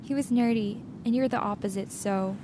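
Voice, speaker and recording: A woman's voice: on the high side, moderate pace, background noise. A young woman giving a dramatic first-person reading of a teen romance story. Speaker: story reader.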